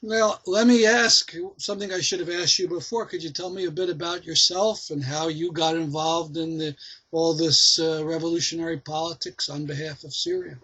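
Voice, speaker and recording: Male interviewer; husky, a bit high, asks things plainly. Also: steady tone, normal speed, bright, forceful tone, rough recording. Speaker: interview host. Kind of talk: interview questions.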